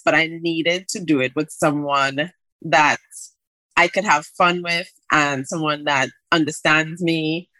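Bright-voiced woman podcast host. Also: fairly low pitch, no echo, rough recording. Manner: excited, laughing, friendly questions. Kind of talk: podcast interview.

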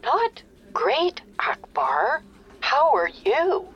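Aggrieved anxious mother, muffled phone sound, expressive pitch, insistent, radio comedy caller. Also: drawn-out words, full character voice. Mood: anxious, strained. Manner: insistent, exasperated. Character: anxious, aggrieved mother complaining about her phone bill. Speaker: actor in an audio comedy. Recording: dull telephone-line sound.

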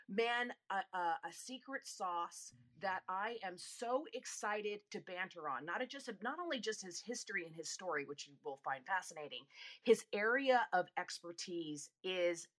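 A woman from Italy co-hosting a live audio show and introducing a guest in a gushing, excited way with many fillers. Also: medium pitch. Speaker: show co-host. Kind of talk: casual chat.

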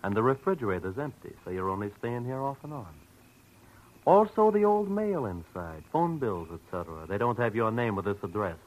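Actor as a low-voiced, slyly menacing radio thug. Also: male voice, expressive, muffled recording, natural voice. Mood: sly, mock-polite. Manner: taunting, slyly polite, drawn-out insinuation. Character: menacing, mock-polite male debt collector and thug. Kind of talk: 1940s radio detective acting.

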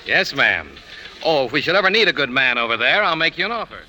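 A man's voice: a little high, sing-song, quick pace, over loud music. An actor playing a cocky, ambitious young magazine man with a wicked tongue in a 1950s radio drama, flippant and needling. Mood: barbed, smug. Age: young adult.

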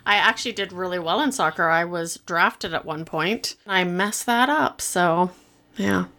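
A woman's voice: on the low side, unhurried, sharp tone, slight background noise. A middle-aged woman from Canada, a podcast guest telling her life story in an earnest, reflective, self-deprecating way. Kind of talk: casual interview chat.

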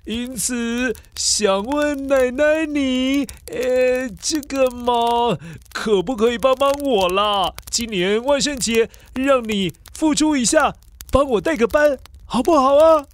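A man's voice: very high voice, takes it slowly. A man voicing a polite, sheepish father in a children's audio story, chuckling and coaxing as he asks for a favor. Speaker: voice actor.

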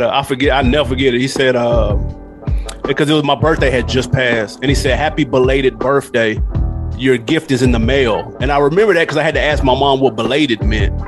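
Candid American man chatting over music. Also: mid-range voice. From Nashville, USA. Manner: candid, slangy. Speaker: podcast guest. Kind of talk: casual storytelling chat.

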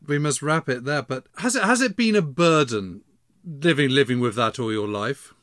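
A man interviewing a guest, wrapping up with admiring remarks and a gentle question. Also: mid-range voice, lively intonation, moderate pace, no echo, studio quality. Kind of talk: interview wrap-up.

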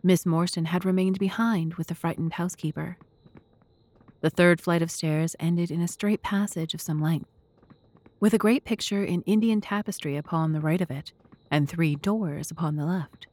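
A woman with a fairly low voice narrates a classic mystery tale. Her delivery is measured and literary, captured close and dry, without room reflections. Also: fairly even intonation, a little mellow, no room sound. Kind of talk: scripted first-person narration of a classic mystery audio drama.

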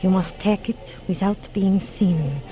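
An actress playing a clever, secretive spy in a 1940s radio drama, giving deliberate, clipped orders. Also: female voice, mid-range voice, close to an everyday voice. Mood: hushed, commanding. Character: clever, secretive foreign female spy, commanding and guarded. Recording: dull old radio recording, faint music.

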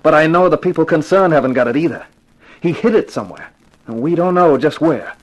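An actor playing a wisecracking, slangy private detective in a 1950s radio crime drama. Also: male voice, a little high, expressive, brisk, rough recording. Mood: brisk, businesslike. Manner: slangy, wry, tough-guy talk. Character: wisecracking hard-boiled private detective, narrating his own case.

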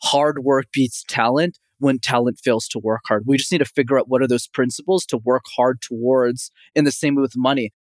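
A man's voice: medium pitch, fairly quick, few pauses, no room sound. Upbeat young Canadian man, dry sound. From Montreal, Canada. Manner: energetic, confident, frequently addresses host by name. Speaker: communication coach, guest. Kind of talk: podcast interview answers.

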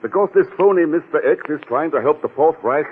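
An actor playing a suave, wry and persuasive secret agent in a 1940s radio drama. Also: male voice, fairly high pitch, lively intonation, fast, husky. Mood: insistent, wry. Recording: muffled, narrow-band old radio recording, reverberant.

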